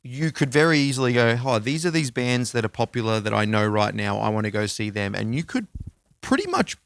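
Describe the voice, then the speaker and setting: A man's voice: mid-range voice, big pitch swings, brisk, clean tone, no room sound. An Australian man chatting casually as a podcast co-host, talkative and informal in his speech. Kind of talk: casual music podcast chat.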